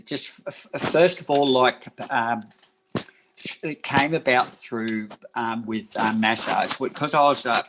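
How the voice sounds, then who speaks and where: A man in his sixties from New Zealand, a massage therapist telling his life story in an interview, rambling and halting with many fillers and restarts. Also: mid-range voice, noisy background. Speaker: guest, massage therapist and personal trainer. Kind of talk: casual interview talk.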